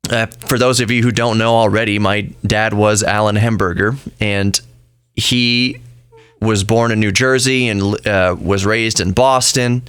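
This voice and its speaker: A man's voice: lowish voice, fairly bright tone, smooth, no echo. An American man from Texas hosting a radio show in a casual, conversational, slightly rambling way. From Texas, United States. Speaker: radio show and podcast host.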